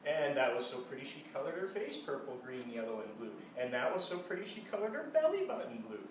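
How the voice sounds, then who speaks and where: A man reading a children's storybook aloud in an animated style, with casual asides. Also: medium pitch, fast. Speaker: storybook reader. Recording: echoey room, distant mic, faint noise.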